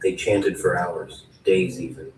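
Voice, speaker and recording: A man with a deep, low voice narrates a dark fantasy script in a theatrical spoken-word style, speaking straight to the listener. The recording is roomy and distant, with faint noise behind him. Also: flat delivery, measured pace. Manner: theatrical, addresses the listener directly.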